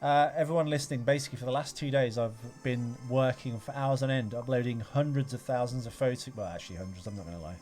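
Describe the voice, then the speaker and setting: A man's voice: mid-range voice, quick pace, few pauses, a little mellow. A man co-hosting a casual film discussion podcast, explaining his ideas at length in a rambling, analytical way. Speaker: podcast co-host. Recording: music playing in the background. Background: film soundtrack music.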